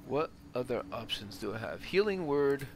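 A man's voice: medium pitch, very animated, normal speed, creaky. Man thinking aloud over noisy game ambience. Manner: thinking aloud, muttering decisions. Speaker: gamer, host of a let's play. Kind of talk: casual gameplay commentary.

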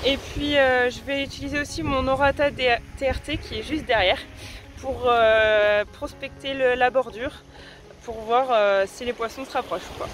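A woman's voice: sits high, noisy background. Hesitant woman explaining fishing gear. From France. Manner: hesitant, many fillers and restarts. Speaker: sea angler explaining her rigs. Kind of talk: casual gear explanation to camera. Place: outdoors on a beach by the sea. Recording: music playing underneath.

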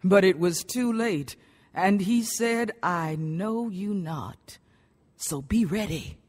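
An actress playing a devout, authoritative Bible study teacher, solemn and instructive, in a radio drama. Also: female voice, medium pitch, takes it slowly, a little mellow, long reverb tail, soft background music, natural voice.

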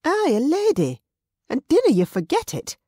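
Narrator putting on a sharp-tongued pregnant noblewoman. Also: high-pitched, wildly swooping pitch, normal speed, dry recording, clean studio sound, voice pushed up. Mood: defiant. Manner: defiant, snappish.

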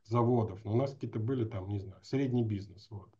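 Male host, forties, soft dark tone, informal and rambling, slightly muffled video-call sound. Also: lowish voice, fairly quick. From Astrakhan, Russia. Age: 40s. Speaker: host, interviewer. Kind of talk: casual conversational interview. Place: small room indoors, video call.

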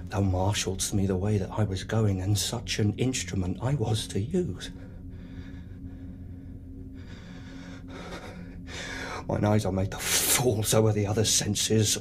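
A man playing a tormented, murderous nobleman in a brooding, deliberate, theatrical Shakespearean soliloquy. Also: on the low side, close to an everyday voice. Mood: agitated. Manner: brooding, deliberate, dramatic delivery.

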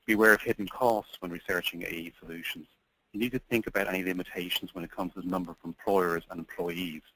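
A man's voice: sits low, fairly even intonation, fast talker, fairly bright tone, low-quality recording. A man hosting a webinar who reads prepared points and then politely introduces the next speaker. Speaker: webinar host. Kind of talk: scripted webinar presentation.

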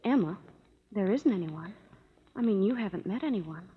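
A woman's voice: mid-range voice, expressive, moderate pace, breathy edge, lo-fi sound. An actress playing a teasing, chatty young woman in a 1930s radio drama. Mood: playful. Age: young adult. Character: playful, chatty youngest-type sister. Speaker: sister Anne.

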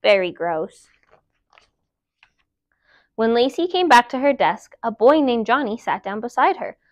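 A woman in her thirties reading a children's picture book aloud in an expressive, emphatic storytime style. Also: on the high side, no echo, muffled recording. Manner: animated, emphatic, deliberate storytelling. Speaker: library storytime reader. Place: indoor room, seated before a dark wall. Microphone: clip-on lapel mic.

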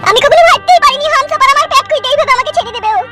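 Whiny cartoon young woman, very high squeaky pitch, rapid complaining, loud music underneath. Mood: tearful, pleading. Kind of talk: comedy cartoon dialogue.

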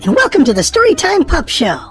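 Lady doing a kids read-aloud, high voice, super animated and exclamatory. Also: fairly quick, soft background music. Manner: exclamatory, addressing young children. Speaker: children's show host and storybook reader.

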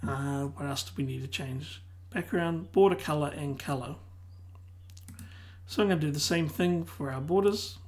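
A tutor losing their voice who keeps clearing their throat, calmly explaining a software tutorial one step at a time. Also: medium pitch, normal speed. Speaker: web design tutor. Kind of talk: screen-recorded software tutorial.

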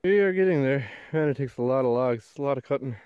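A man's voice: medium pitch, quick pace, clear, resonant tone, no echo, phone-quality sound. A young man, chatting casually to the camera outdoors in a forest while building a shelter and explaining each step. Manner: relaxed, chatty, explains as he works. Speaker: bushcraft vlogger. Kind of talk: casual narration while working. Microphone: handheld or mounted action camera.

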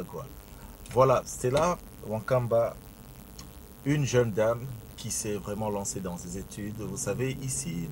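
A man sending an encouraging recorded tribute, switching languages and offering blessings. His voice sits low. A clear rumble of noise and passing traffic runs behind him. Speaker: guest giving a tribute. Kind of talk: recorded message, mixing languages. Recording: noisy, vehicle rumble behind.

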